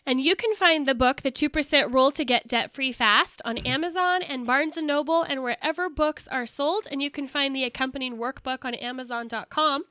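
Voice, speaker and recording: A woman's voice: high-pitched, fairly quick, hardly any pauses, bright, forceful tone, no echo, clean studio sound. A young adult woman co-hosting a casual podcast, a little nasal from a cold, talking with enthusiasm. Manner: enthusiastic, talks in long lists. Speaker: podcast co-host.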